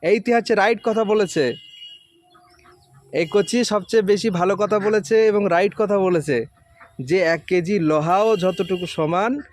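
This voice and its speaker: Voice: mid-range voice, unhurried. A quiz host, informally questioning children and patiently explaining and repeating the answers.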